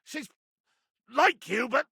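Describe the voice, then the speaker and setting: An actor playing a grandiose, menacing pirate captain in a video game, raspy and theatrical, haughty with archaic nautical insults. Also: male voice, very high-pitched, expressive, takes it slowly, bright, forceful tone, dry recording, raised voice, clean studio sound, full character voice. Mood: furious, exasperated. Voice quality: gravelly, raspy. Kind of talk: video game character acting.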